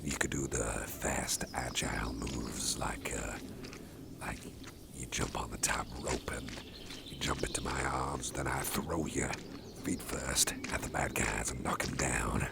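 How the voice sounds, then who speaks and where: A man voicing a huge, intimidating male boss who is gruff but protective, chatting and rambling in an ASMR roleplay with a deep, gravelly voice. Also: fairly even intonation, measured pace, heavy background noise, heavily acted. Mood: easygoing. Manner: rambling, chatty, chuckles to himself. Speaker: boss character carrying the listener. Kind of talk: ASMR roleplay, personal attention.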